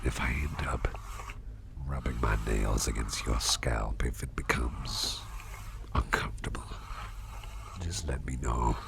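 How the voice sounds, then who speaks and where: A man playing a gentle, doting businessman boss in an ASMR roleplay, murmuring soothingly in a gravelly, breathy half-whisper. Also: a bass voice, noisy background, exaggerated voice. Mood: soothing, tender. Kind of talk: ASMR roleplay, personal attention.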